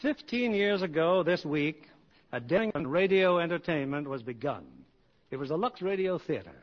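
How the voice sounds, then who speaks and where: A male radio host of the 1940s with a fairly high, very animated voice. He introduces and narrates from a script with formal, polished showmanship. The old broadcast sounds a bit dull. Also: moderate pace.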